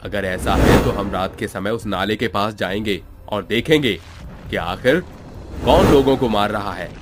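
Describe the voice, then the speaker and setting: A man's voice: lowish voice, unhurried, very noisy, close to an everyday voice. A man playing worried villagers in an audio horror story, delivering earnest dialogue. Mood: worried, resolute. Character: worried village men, workers and headman. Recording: loud music under the voice.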